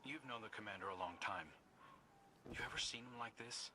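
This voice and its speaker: A man as a low-voiced, earnest soldier, noisy audio. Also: a little fast, natural voice. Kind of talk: video game voice acting.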